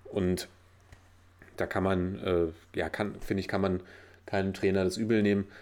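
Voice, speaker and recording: A man's voice: low-pitched, flat delivery, moderate pace, darkish tone. A man chatting casually about football, pushing back and asking pointed questions.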